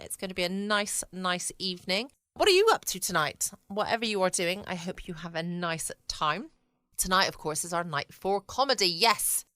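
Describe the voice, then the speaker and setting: Southern english lady chatting on her podcast, bright voice, dry close sound, rambly. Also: mid-range voice, dry recording. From United Kingdom. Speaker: podcast host. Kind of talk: chatty informal solo podcast. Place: at home, dry close room.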